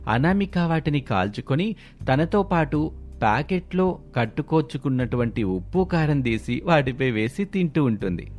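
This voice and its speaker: A man works as narrator of a scripted moral tale. His voice sits at a middle pitch, and music plays behind the narration. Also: animated, moderate pace, a little mellow.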